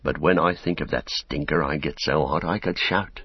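A man voicing a loyal, plain-spoken male hobbit servant in a storybook, earnest, homely and indignant, keeping his voice hushed. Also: extremely low pitch, normal speed, breathy edge, no echo, lo-fi sound.

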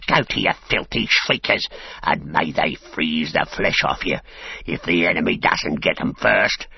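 Sneering little orc tracker, raspy. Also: male voice, on the low side, animated, unhurried, dry recording, highs cut off, pitched above the performer's own voice, exaggerated voice. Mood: frightened rage. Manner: jeering, whining complaints. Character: small orc tracker, grumbling, sneering, insolent male.

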